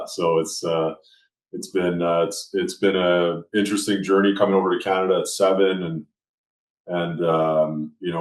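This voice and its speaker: A middle-aged man from France, a podcast guest on a video call, talking in a relaxed, self-deprecating way with lots of fillers. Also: sits low. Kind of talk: casual conversation. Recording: echoey room.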